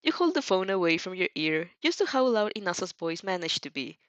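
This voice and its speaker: A woman's voice: lowish voice, big pitch swings, brisk, no room sound, dull audio. A woman streamer talking informally and reading fan fiction aloud with expression. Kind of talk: informal livestream chat and expressive story reading.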